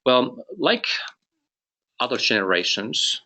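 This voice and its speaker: A man's voice: medium pitch, lively intonation, slow pace, sharp tone, muffled recording. A middle-aged man from Finland with a Finnish accent, presenting a business webinar carefully, with restarts and light humor. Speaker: company president presenting a webinar.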